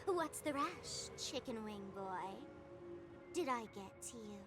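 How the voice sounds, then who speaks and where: A woman's voice: on the high side, expressive, slow pace. A woman voicing a bratty, mischievous young trickster in a video game, with a teasing, theatrical and sardonic manner. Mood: taunting. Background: game music.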